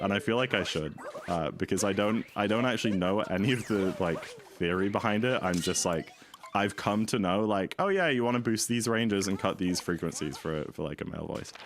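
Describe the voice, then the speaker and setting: A young man with a deep voice, streaming and chatting easily with viewers in a rambling, relaxed way. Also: a little fast. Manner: rambling, easygoing, full of "like". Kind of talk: casual chat while gaming, answering chat. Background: music.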